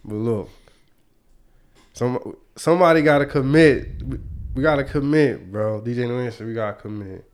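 A man's voice: mid-range voice, normal speed. A young man hosting a podcast in a casual, slangy way, stuffy and congested from a cold. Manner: laid-back, slangy, chuckling. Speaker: podcast host.

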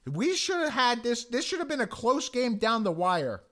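A hoarse American man co-hosting a sports podcast. He talks earnestly, restarts often and leans on fillers. His voice is notably high for a man. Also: big pitch swings, moderate pace, fairly bright tone. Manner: earnest, many restarts and you-knows. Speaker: podcast co-host. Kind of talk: casual sports chat.

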